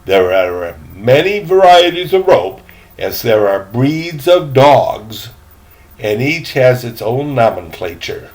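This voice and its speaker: An older man voicing a courteous, learned elderly gentleman in a storybook, speaking formally and precisely with slight pompousness. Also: medium pitch, big pitch swings, takes it slowly. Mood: pedantic.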